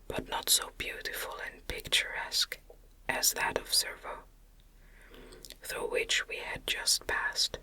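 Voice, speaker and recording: Female ASMR narrator for gothic literature: whispered throughout, very sharp and sibilant, unhurried, gentle delivery. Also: low-quality recording. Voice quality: breathy unvoiced whisper. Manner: gentle, measured. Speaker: audiobook reader.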